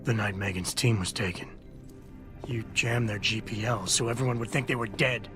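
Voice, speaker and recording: Man acting a low, gruff, deadpan operative. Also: moderate pace, music in the background, natural voice. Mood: determined. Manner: deadpan, clipped. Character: cool, terse, determined male covert operative. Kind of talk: video game voice acting.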